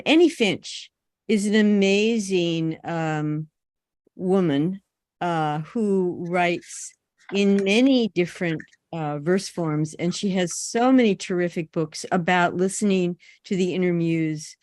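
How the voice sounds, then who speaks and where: A woman's voice: fairly low pitch, takes it slowly, darkish tone, no echo, studio quality. A woman poet making reflective, generous remarks on an online panel, conversational and somewhat hesitant as she goes. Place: online video call.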